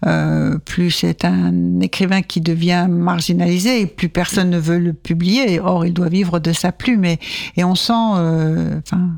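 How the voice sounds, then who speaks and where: A woman hosting a radio interview, asking halting questions and affirming the guest with short interjections. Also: on the low side, steady tone, vocal fry, a little mellow. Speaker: radio show host.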